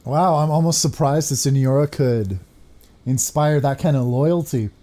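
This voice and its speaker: A man's voice: medium pitch, moderate pace, warm tone. A man in his thirties giving casual playthrough commentary as a gaming streamer from a room at home.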